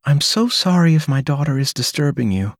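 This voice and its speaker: A man's voice: on the high side, lively intonation, a little fast, no room sound, studio quality, voice pushed up. A man voicing a courteous young mother who speaks politely and a little apologetically in an audiobook. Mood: apologetic. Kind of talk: audiobook character voice.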